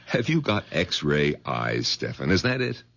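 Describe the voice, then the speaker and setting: A skeptical middle-aged doctor with a deep, gravelly, husky voice. He talks in exasperated swings of pitch, rising sharply when pushed. The delivery belongs to classic radio drama. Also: big pitch swings, unhurried, fairly bright tone, exaggerated voice. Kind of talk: 1970s radio drama acting.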